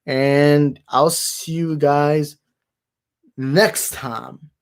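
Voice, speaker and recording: Young man, movie stream host, worn slightly raspy voice, tired, rambling casual chat, repeats himself. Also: fairly high pitch, fairly even intonation, extremely slow. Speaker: movie talk livestream host. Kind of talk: casual livestream sign-off chat.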